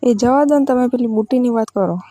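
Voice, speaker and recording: A woman's voice: on the high side, fairly quick, warm tone, dry recording, faint music behind, close to an everyday voice. A woman with a Gujarati accent playing a demanding village in-law who wheedles insistently in a casual phone conversation. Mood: coaxing, stubborn.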